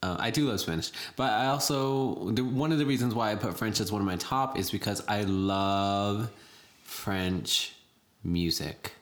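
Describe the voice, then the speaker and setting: A young woman in casual chat, lively and giggly, rambling loosely through her points. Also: rumbling low, measured pace.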